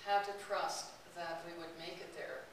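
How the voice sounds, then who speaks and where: Woman speaker, chatty storyteller, somewhat low voice, distant mic with steady hiss. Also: moderate pace. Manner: storytelling, chatty, laughs often. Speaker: speaker giving a talk. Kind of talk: personal travel talk to an audience. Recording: distant, roomy, hissy recording.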